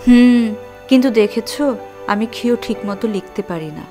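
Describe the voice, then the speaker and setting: A woman's voice: fairly high pitch, normal speed, mellow, soft background music, lightly acted. A woman playing a naive, shy young orphaned bride with a plaintive manner in an audio drama. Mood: shy, teasing. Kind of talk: Bengali audio drama acting.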